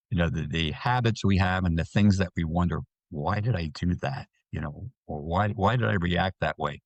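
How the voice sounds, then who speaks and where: A man, a hypnotherapist guest, explaining in a slow way with many restarts and fillers. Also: sits low, no echo. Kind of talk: explanatory interview talk.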